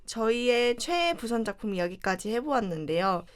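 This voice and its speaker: A woman's voice: on the high side, expressive, moderate pace. Chatty young Busan woman DJ. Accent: Busan Gyeongsang dialect. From Busan, South Korea. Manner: chatty, many filler words. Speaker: radio DJ. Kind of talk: casual radio chat.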